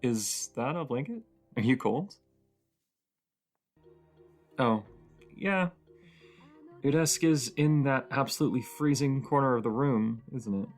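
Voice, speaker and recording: A woman's voice: takes it slowly, long pauses, darkish tone, soft background music, exaggerated voice. A woman playing a cozy, teasing young roommate with a shy crush in an intimate audio roleplay, her voice sleepy and grumbly. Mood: casual, caring. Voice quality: sleepy, grumbly low voice with creak.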